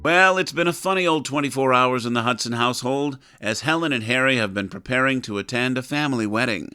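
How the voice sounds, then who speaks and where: A man's voice: medium pitch, fairly quick, sharp tone. A man narrating a scripted comedy audio drama in a storytelling style.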